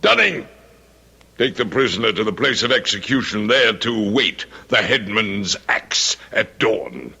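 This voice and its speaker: An actor playing a pompous, deranged aristocrat who sits as a merciless judge, declaiming in archaic language in an old-time radio drama. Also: male voice, on the low side, very animated, unhurried, gravelly, bright, forceful tone, breathy edge, heavily acted. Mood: coldly pronouncing.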